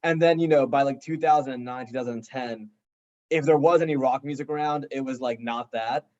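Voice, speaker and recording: A man's voice: medium pitch, brisk, darkish tone. A man with an American accent chatting casually as an interview guest, acting out quotes as he tells stories, on slightly dull audio. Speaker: band member, interview guest. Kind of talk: casual chat.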